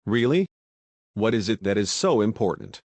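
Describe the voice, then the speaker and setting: A man's voice: lowish voice, big pitch swings, normal speed, a little mellow, no room sound. A man playing a folksy TV news host delivering grave warnings in a mock breaking-news broadcast. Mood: serious, concerned. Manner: folksy, addresses viewers as y'all.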